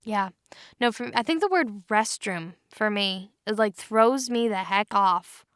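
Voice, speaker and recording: A woman's voice: mid-range voice, measured pace, clear, resonant tone, dry recording, studio quality. A woman chatting casually and playfully, with a joking, dramatic way of talking. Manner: animated, self-dramatizing, joking. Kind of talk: casual, playful panel chat.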